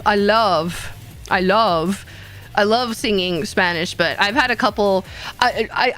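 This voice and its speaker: A woman's voice: a little high, pushed and bright. A young woman streaming casually, rambling and teasing herself, with many fillers and laughs. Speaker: VTuber streamer.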